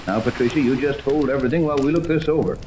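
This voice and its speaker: An actor playing a skeptical, take-charge yacht captain in a 1930s radio serial, blunt and commanding. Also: male voice, mid-range voice, very fast, natural voice. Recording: noisy old 1930s radio recording.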